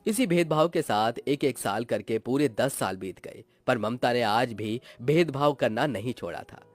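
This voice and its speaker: Male narrator for cartoon moral stories, expressive and melodramatic, very animated intonation. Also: medium pitch, normal speed, soft background music. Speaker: story narrator. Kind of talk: scripted dramatic storytelling narration for a cartoon moral tale.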